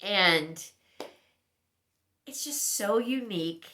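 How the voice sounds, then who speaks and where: A woman talking casually as a book vlogger, thinking aloud in halting, conversational asides. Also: mid-range voice, lively intonation, sharp tone, slight background noise. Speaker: book vlogger reviewing recent reads. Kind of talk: casual chat to camera.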